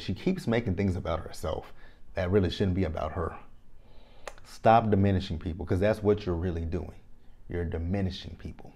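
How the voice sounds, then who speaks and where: A man from Texas in his thirties with a Texan accent, giving an animated, opinionated reality TV recap straight to camera on a lapel mic in a small room. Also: sits low. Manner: animated, repeats phrases, talks straight to viewers. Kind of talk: casual opinionated review to camera. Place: small indoor room, plain backdrop.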